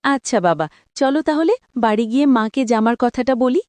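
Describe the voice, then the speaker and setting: A woman's voice: on the high side, animated, normal speed, darkish tone, no echo, natural voice. A woman playing an eager, hopeful young daughter of a poor family in a cartoon, earnest and pleading. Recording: background music under the voice.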